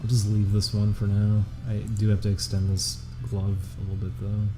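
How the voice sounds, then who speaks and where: A young man from Chicago with a monotone voice, chatting casually and self-deprecatingly on a live stream. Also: lowish voice, music in the background. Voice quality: monotone, flat-sounding. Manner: rambling, self-deprecating, halting restarts. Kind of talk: casual live stream chat while drawing.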